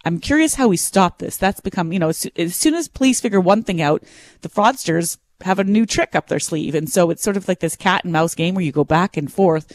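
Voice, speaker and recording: Radio co-host, male, high voice, earnest explainer, dry studio sound. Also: brisk, dry recording. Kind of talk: casual radio chat.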